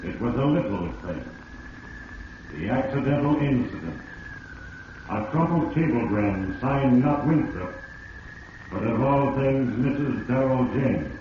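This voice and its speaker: An actor playing the ominous voice of Fate, narrating a 1940s radio drama solemnly and deliberately to a doomed schemer. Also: male voice, medium pitch, animated, lightly acted. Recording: muffled, hissy 1940s radio, heavy echo.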